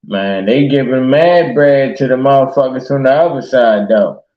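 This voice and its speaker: A man's voice: medium pitch, moderate pace, lo-fi sound. A man in casual, passionate conversation as a talk show host, speaking emphatically with slang and swearing. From Baltimore, United States.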